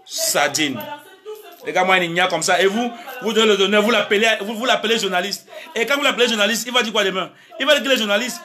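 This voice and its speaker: Brief: middle-aged man from Cameroon, heated live-stream host, bright forceful voice, pitched a bit high. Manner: angry and emphatic, then fumbling and muttering.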